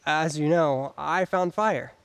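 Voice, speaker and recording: A man's voice: fairly high pitch, slow pace, vocal fry, clean tone, big-room sound. A man playing a plain-spoken soldier in an audio drama, explaining things casually to a superior. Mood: matter-of-fact. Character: young male soldier, plain-spoken, reporting to captain.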